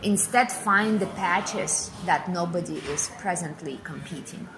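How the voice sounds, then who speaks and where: A woman's voice: on the low side, measured pace, crowd noise, rough recording. A woman in her forties from Kazakhstan, a guest giving fluent, emphatic interview answers and repeating phrases for effect. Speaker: interview guest, business speaker.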